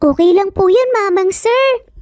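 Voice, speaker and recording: A woman's voice: very high-pitched, measured pace, darkish tone, no echo, clean studio sound, soft background music, voice pushed up. A woman voicing a cute, polite little boy in a dramatized story, with a childlike manner. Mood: cheerful.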